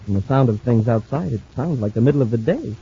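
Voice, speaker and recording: An actor playing an eager young space cadet sidekick, chatty and joking, in a 1950s radio drama. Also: male voice, mid-range voice, animated, fast talker, natural voice. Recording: muffled old radio recording with faint hiss.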